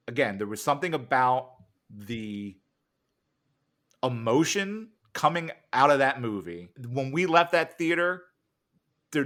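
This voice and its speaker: An American man talking casually in an interview, telling things in a halting way as he searches for words. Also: mid-range voice, a bit bright. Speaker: interviewer and interviewee, podcast cast member. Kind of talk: casual anecdotal interview chat.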